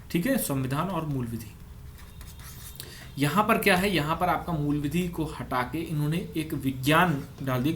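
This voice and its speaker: Higher-pitched man, noisy recording. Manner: conversational, repeats points for emphasis. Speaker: exam coaching presenter. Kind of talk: informal explainer for exam aspirants. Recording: noticeable background noise.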